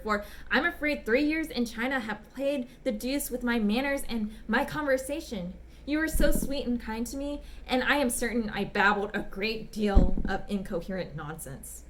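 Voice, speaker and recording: Smitten gentleman letter writer played by a woman, old-fashioned, courteous and gushing, fairly high voice, faint noise behind. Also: lightly acted. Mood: charmed, apologetic. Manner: polite, apologetic, ardent, old-fashioned phrasing. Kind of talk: dramatic reading of love letters.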